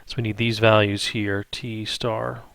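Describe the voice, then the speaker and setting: Male math instructor, lowish voice, dry close sound, informal tutorial delivery, thinks out loud and frequently tags on check-in questions. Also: level tone, unhurried, creaky, no room sound. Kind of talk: improvised step-by-step spreadsheet tutorial.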